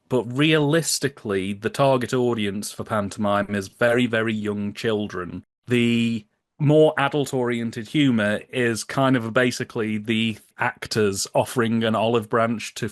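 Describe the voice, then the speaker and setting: A man's voice: mid-range voice, measured pace, no room sound, clean studio sound. A British man chatting as a podcast guest, explaining things in a wry, humorous, chatty way. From United Kingdom. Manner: chatty, humorous, wry, often laughing as he talks. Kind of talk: casual podcast discussion, explaining.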